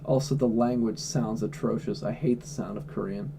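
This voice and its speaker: A man's voice: fairly low pitch, steady tone, normal speed, creaky, noisy background. A man livestreaming from home, answering chat questions in a blunt, offhand way and swearing freely. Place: at home indoors.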